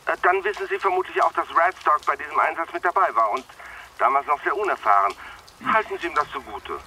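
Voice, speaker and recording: A diplomatic, faintly condescending police chief in a radio crime play. His fairly high voice is piercingly bright and heard from a little distance, polite and placating. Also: lively intonation, fast talker, a little hiss or hum. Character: diplomatic, mildly condescending senior British police officer. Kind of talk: German radio crime drama acting.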